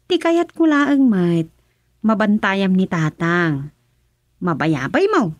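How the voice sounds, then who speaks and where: A woman playing a young wife who is worried and sharp-tongued, nagging her husband with emphatic speech. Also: medium pitch, very animated, slow pace, dry recording. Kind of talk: radio drama acting.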